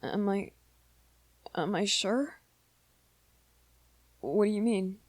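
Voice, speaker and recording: A woman playing a shy, anxious young male vampire boyfriend in an ASMR roleplay, soft-spoken and hesitant, with apologetic stammers. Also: mid-range voice, slow pace, dry recording. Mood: nervous, hesitant. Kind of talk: ASMR roleplay, scripted one-sided dialogue to listener.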